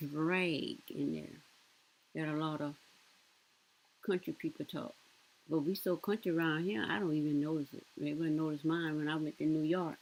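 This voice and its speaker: Southern woman commentator, low husky voice, rambling casual chat. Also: darkish tone, poor audio. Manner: rambling, conversational, colloquial.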